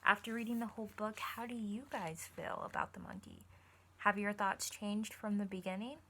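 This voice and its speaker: A woman's voice: mid-range voice, little pitch movement, normal speed, bright, forceful tone. A woman reading a picture book aloud like a teacher, stopping to put questions directly to her young listeners. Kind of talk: animated read-aloud with asides to young listeners.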